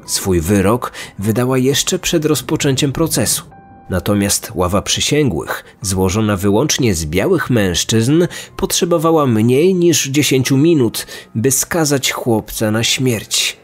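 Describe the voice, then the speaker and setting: A man's voice: medium pitch, expressive, a little slow. Male true-crime podcast host over music. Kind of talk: scripted true-crime narration. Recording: music under the voice. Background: background music.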